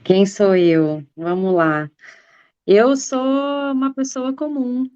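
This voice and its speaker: Woman in her 40s, rambly chat full of fillers, smooth voice, dry dull sound. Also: a little high, level tone, slow, mellow, dry recording. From Brazil. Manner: rambling, many fillers and restarts. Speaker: guest, political activist. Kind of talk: casual interview storytelling.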